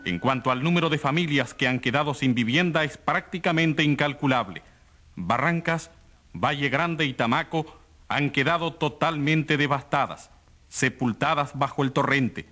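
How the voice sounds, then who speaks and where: A man's voice: on the high side, sharp tone. An actor playing a radio newsreader, a man reporting a catastrophe in a formal, grave bulletin for a radio drama. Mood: grave, urgent. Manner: formal, grave, measured phrasing. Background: music.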